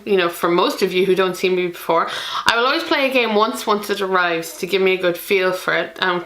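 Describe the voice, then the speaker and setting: A woman's voice: mid-range voice, bright, forceful tone, echoey room, poor audio. A woman from Ireland with an Irish accent, hosting a board game channel in a chatty, self-deprecating way with many ums. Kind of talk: chatty solo video to camera.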